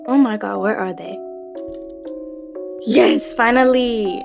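Woman as a slow, menacing possessed doll. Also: fairly high pitch, vocal fry, over music. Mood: impatient. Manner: drawling, disdainful muttering to herself. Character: possessed antique doll, menacing, sulky female killer. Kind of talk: amateur voiced roleplay horror parody.

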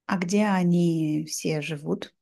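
A woman's voice: lowish voice, fairly even intonation, slow, vocal fry, dark tone. A woman in her thirties hosting a simple conversational interview for language learners, recorded at home on a desk microphone. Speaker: host, interviewer. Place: indoor room at home, video call.

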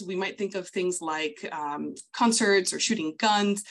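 Low-voiced woman explaining hearing loss. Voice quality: low-pitched voice. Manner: clear, explanatory, patient. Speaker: audiologist. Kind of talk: webinar presentation over slides.

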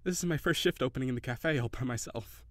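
A man playing a cheery, shy, flirty kitsune barista in an intimate audio roleplay, speaking hesitantly and giggly, with gentle encouragement. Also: medium pitch, quick pace, dry recording, studio quality, close to an everyday voice. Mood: shy, candid.

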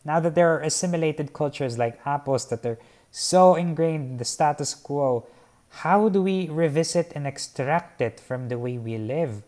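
A young man from the Philippines explaining his own painting in a measured, earnest, formal way, seated on a garden patio. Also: fairly high pitch, darkish tone. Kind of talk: reflective artist talk. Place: covered patio by a garden, outdoors.

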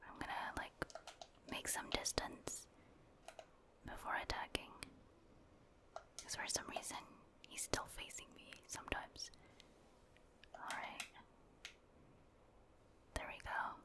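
A woman speaking in a soft, breathy whisper, giving casual ASMR commentary in short remarks as she plays a video game. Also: slow, pauses often.

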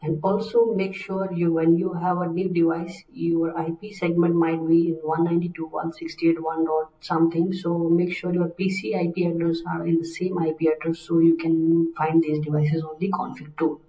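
A man narrating a software tutorial as a technical instructor, step by step with halting phrasing. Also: a little high, monotone. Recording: roomy, distant microphone.

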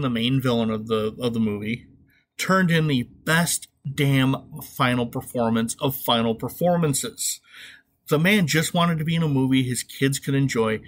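A man's voice: fairly high pitch, fairly bright tone. Sarcastic man doing casual podcast commentary. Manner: sarcastic, irreverent. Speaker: podcast host. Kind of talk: casual comedic movie commentary.

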